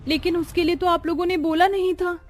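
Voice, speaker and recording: A woman's voice: extremely high pitch, little pitch movement, fairly quick, faint music behind, pitched above the performer's own voice. A woman voicing a dutiful, exasperated young new daughter-in-law in a cartoon story, polite and plaintive. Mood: dismayed, complaining.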